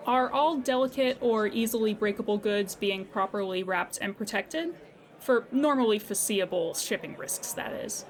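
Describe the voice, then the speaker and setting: A woman playing a patient, courteous insurance customer service agent in a scripted audio comedy, speaking formally and with measured politeness. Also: medium pitch, natural voice. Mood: polite, businesslike. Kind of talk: scripted comedy audio drama phone call.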